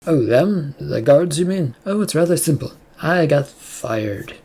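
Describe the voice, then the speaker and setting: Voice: medium pitch, expressive, unhurried, gravelly, dark tone, breathy edge, exaggerated voice. A reader voicing a defiant male researcher in an audiobook story, blunt, probing and flippant. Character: defiant, smirking male researcher challenging his boss.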